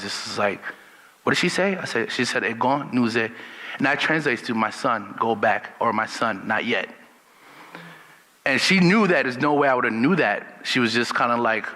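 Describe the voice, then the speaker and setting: A man from Liberia telling a personal story in a relaxed, unhurried storytelling manner. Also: mid-range voice, big-room sound, rough recording. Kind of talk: personal testimony.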